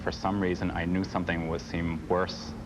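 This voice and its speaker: Male interviewee, deep voice, hesitant earnest recollection, clear background noise. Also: little pitch movement, moderate pace, dull audio. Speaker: air traffic controller being interviewed. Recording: clearly noisy interview audio.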